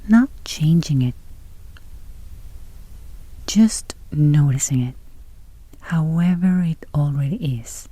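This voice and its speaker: Slow, whispery woman guiding meditation. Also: low-pitched, lively intonation, dry recording, rough recording. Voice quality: soft, breathy, near-whisper. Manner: gentle, unhurried, soothing. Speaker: meditation guide. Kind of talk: guided meditation.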